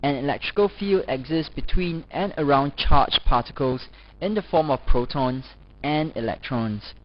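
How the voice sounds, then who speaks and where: A man's voice: mid-range voice, normal speed. Man reading a lesson, dull lo-fi sound. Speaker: lecturer. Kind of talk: scripted educational narration. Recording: dull, lo-fi voice-over recording.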